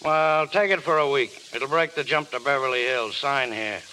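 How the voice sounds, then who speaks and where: An actor playing a brusque, sardonic rooming-house clerk in a 1930s radio drama. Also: male voice, medium pitch, moderate pace, bright, forceful tone, lightly acted. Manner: curt, sardonic. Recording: faintly noisy vintage radio broadcast.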